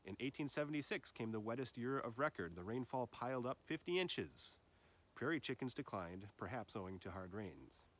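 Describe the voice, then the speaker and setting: A man narrates written nature prose in a voice of ordinary middle pitch. He reads in measured phrases, and his sentences drop off at their endings. It sounds like an essay being read aloud from the page. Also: lively intonation, a bit bright. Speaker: reader of an essay.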